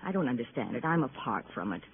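A woman's voice: very deep voice, sing-song, fast, full character voice. A woman playing a bored, sardonic, world-weary wealthy wife in a 1950s radio drama. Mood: bitter confiding. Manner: arch, world-weary, theatrical. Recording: tinny, noisy vintage radio recording.

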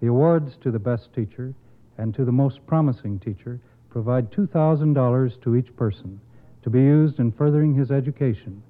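A man speaks as a committee spokesman in a formal, earnest and measured manner, reading a prepared announcement at a medium pitch. The sound is narrow and phone-like, like an old radio broadcast.

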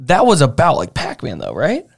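A man's voice: fairly high pitch, huge pitch swings, normal speed, gravelly, no echo, studio quality. A man co-hosting a podcast in casual chat, slangy and hesitant, often breaking off his own sentences. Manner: slangy, hesitant, self-interrupting. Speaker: podcast co-host.

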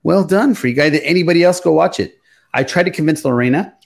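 A man's voice: fairly high pitch, quick pace, gravelly. A man hosting a pop culture podcast, chatting informally and swearing now and then. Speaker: podcast host.

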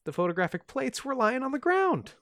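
A man's voice: very high voice, big pitch swings, brisk, fairly bright tone, no room sound. A man co-hosting a podcast, recounting an odd story in a wry, joking way with plenty of fillers. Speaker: podcast co-host. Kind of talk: casual storytelling of a strange tale.

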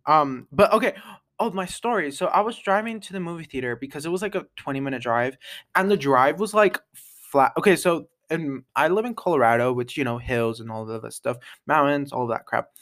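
A young man hosting an unscripted podcast, chatting casually and rambling, with lots of fillers, restarts and quick laughs. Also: fairly high pitch, expressive, a bit bright. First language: English. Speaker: podcast host.

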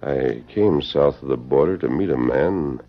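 A man acting a shrewd, calm masked cowboy hero in an old-time radio western, with a measured, authoritative delivery. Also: very deep voice, exaggerated voice. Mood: calm, probing. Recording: muffled, noisy vintage radio recording.

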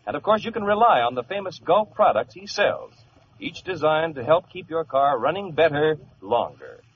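Male vintage radio pitchman, higher-set voice, very animated delivery, muffled broadcast sound. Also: moderate pace. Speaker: radio announcer. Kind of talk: 1940s radio advertising announcement. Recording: muffled old radio, faint hiss.

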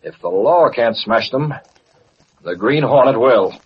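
A man's voice: medium pitch, animated, a little slow, lightly acted. An actor playing a hard, commanding American man in an old-time radio crime drama, speaking in a clipped, decisive way. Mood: grim, determined. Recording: muffled, noisy vintage radio recording.

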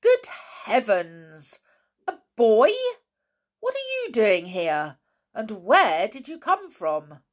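A menacing storybook villain, played by a woman, loud and bullying. He snaps out clipped orders and threats with open scorn, like someone used to being obeyed. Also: deep voice, lively intonation, unhurried, exaggerated voice. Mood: startled, demanding. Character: menacing angry man with a revolver, bullying and scornful.

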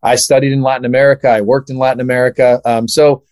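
Man in his 30s, from southern california, quick talker, eager, dry close sound. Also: mid-range voice, level tone, a little mellow, clean tone, dry recording. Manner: quick, eager, many fillers. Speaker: guest, startup founder. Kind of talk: interview answers.

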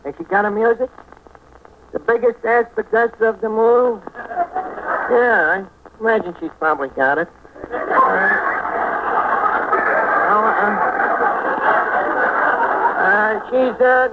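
An actor playing a bumbling, scheming tavern manager, hemming and hawing in a 1940s radio comedy. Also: male voice, high-pitched, a little slow, pauses often. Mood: eager, bumbling. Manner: hesitant, hemming and hawing, malapropisms. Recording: scratchy, narrow-band 1940s radio recording.

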